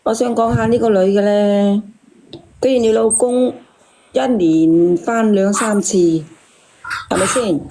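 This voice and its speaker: A woman calls in, chatting casually. Her voice is fairly high yet soft-edged and mellow. She speaks frankly and plainly. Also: unhurried, long pauses, low-quality recording. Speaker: caller. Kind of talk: casual chat.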